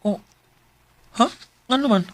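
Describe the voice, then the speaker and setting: A man's voice: very high voice, takes it slowly, a little hiss or hum. A man with a Filipino Bisaya accent acting a lovesick, jealous young security guard in a radio drama, questioning insistently and emotionally. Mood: anxious, probing.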